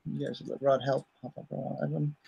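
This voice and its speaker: A man's voice: medium pitch, level tone, brisk, gravelly, warm tone, husky, lo-fi sound. A man hosting a casual livestream chat, rambling and thinking aloud. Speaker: comic artist hosting a livestream.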